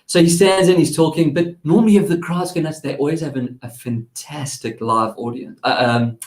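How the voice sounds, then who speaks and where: A man's voice: a little high, steady tone, moderate pace, roomy sound. A man with a South African accent, hosting a casual tech segment and chatting in a rambling way with lots of restarts. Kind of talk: casual chat.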